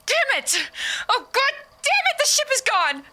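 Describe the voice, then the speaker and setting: An actress playing a frightened, stranded explorer in an audio drama, speaking in a shaken, halting way. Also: female voice, very high-pitched, big pitch swings, sharp tone, full character voice. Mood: distraught. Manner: shaken, halting, breathy outbursts and whispers. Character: frightened, stranded woman explorer recording a desperate log.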